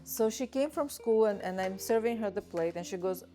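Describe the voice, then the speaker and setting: A woman's voice: medium pitch, normal speed, dark tone, soft background music. A woman from Russia, hosting a cooking show as a chef, chatting casually, often laughing and telling stories as she cooks in a kitchen. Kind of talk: casual chat while cooking.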